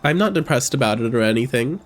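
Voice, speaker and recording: Chatty streamer, self-deprecating and joking, middle-pitched voice, faint game music behind. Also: lively intonation, fast talker. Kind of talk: casual gaming stream chat.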